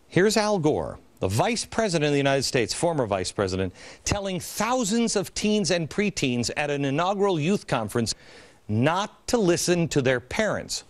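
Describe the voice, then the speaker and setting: A man in his forties hosting an opinion TV show in a large studio, talking in an indignant, sarcastic, theatrical way. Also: medium pitch. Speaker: TV host. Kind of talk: opinion TV commentary. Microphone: studio mic, standing at camera.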